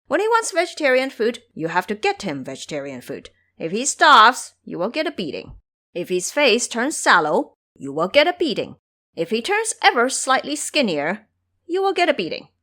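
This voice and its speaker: Scheming male monkey disciple, played by a woman, cocky and commanding, very lively pitch, audio drama. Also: moderate pace. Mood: bossy.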